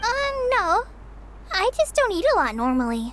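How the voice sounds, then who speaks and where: A woman voicing a timid, apologetic girl in an animated game, hesitant and meek. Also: very high voice, brisk, a little hiss or hum. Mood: awkward apologetic. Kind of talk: game cutscene acting.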